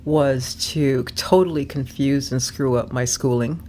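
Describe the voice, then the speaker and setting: A woman's voice: extremely low pitch, steady tone, a little slow, warm tone, slight background noise. A woman guest, a personal development coach, talking casually with lots of ums and easy laughter. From born in Vermont, raised abroad. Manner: chatty, frequent ums, laughs easily. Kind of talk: casual conversation.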